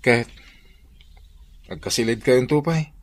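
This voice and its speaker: An actor with an Ilocano accent playing an inquisitive young buddy in a radio drama. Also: male voice, medium pitch, lively intonation, measured pace. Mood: curious.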